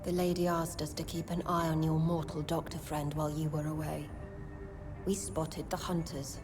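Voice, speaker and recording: An actress playing a wise, cryptic old sewer-dweller with a formal, archaic manner in a video game. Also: female voice, deep voice, level tone, normal speed, music in the background, lightly acted. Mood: solemn. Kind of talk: dramatic video game acting.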